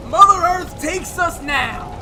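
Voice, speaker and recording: A man voicing an eerie doomed spirit that calls out slowly and solemnly in a radio horror drama. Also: extremely high pitch, animated, full character voice. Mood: solemn, urgent. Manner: slow, crying out solemnly. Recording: distant, loud music and roaring din.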